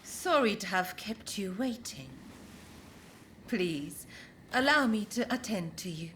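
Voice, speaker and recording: An actress playing a gracious, alluring geisha in a video game, speaking politely and formally. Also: female voice, mid-range voice, lively intonation, slow pace, a bit bright, a little hiss or hum. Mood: polite, attentive. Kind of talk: video game cutscene acting.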